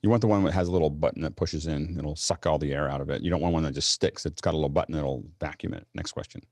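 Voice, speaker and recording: Super low creaky guy hosting a zoom panel, kinda rambly, dry close sound. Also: steady tone, fast talker, darkish tone, no room sound. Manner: rambling, many restarts and fillers. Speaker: host of a live online panel. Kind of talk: casual panel moderation.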